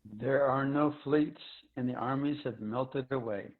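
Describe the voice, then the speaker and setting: A man's voice: mid-range voice, measured pace, natural voice. A solemn, aging space commander played by a man in a dramatic reading. He declaims with weary gravity, and the sound is narrow and phone-like, as over a video call. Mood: solemn, resigned. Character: old, stiff, weary male space ranger general.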